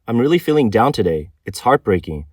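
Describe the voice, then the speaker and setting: A man's voice: mid-range voice, sing-song, brisk, a little mellow, breathy edge, no echo, clean studio sound. A man reading a scripted news narration as the narrator of a report.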